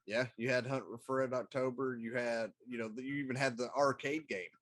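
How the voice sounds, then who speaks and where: A man's voice: medium pitch, steady tone, brisk, a bit bright. A middle-aged man talking casually as a talk show co-host, meandering through his opinions. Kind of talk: casual chat.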